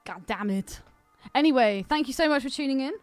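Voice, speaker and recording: High-voiced British woman, casual and teasing. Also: animated, fairly quick, dry recording, soft background music. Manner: informal, teasing, sweary banter. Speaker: podcast co-host. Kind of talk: casual film podcast chat.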